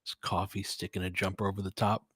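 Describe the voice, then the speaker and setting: A man's voice: sits low, level tone, fast talker, fairly bright tone, husky, no echo, studio quality. A man giving informal live-stream play-by-play and commentary, thinking aloud as he chats with listeners.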